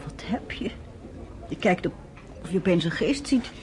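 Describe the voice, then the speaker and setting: A woman's voice: lowish voice, expressive, normal speed, whispered, natural voice. An actress playing a cool, polite, independent-minded woman in a radio play, speaking formally and guardedly. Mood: puzzled. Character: cool, reserved American woman, polite but firmly independent.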